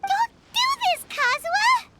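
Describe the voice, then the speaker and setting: A woman voicing a tiny, childlike, anxious fairy companion in a video game, in squeaky, worried exclamations. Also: expressive, unhurried, full character voice. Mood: alarmed, pleading. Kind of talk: cartoonish video game voice acting. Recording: loud music behind the voice.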